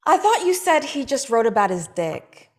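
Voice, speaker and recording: A woman reading her lines at a script table read, dry and blunt, with quick comebacks. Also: on the high side, big pitch swings, a little mellow.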